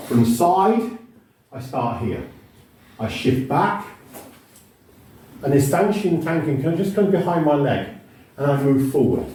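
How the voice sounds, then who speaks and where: A male martial arts instructor from the UK calmly explaining and demonstrating techniques, talking the moves through step by step. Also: medium pitch. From United Kingdom. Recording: echoey hall from far off.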